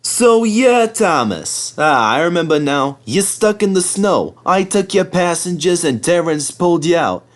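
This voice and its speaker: A man's voice: on the high side, big pitch swings, a little slow, hardly any pauses, pitched above the performer's own voice. A man voicing a friendly, confident, teasing male bus in a storybook reading.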